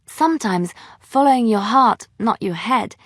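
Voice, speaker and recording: A woman playing a romantic, earnest young bard in a video game, speaking with expressive, lilting phrasing. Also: fairly high pitch, measured pace, no room sound, studio quality. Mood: gentle. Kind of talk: video game character dialogue and storytelling.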